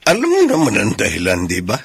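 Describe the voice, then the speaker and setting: An actor playing a kindly, protective middle-aged uncle in a Filipino radio drama. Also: male voice, lowish voice, huge pitch swings, fast talker, creaky, husky, exaggerated voice. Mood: earnest.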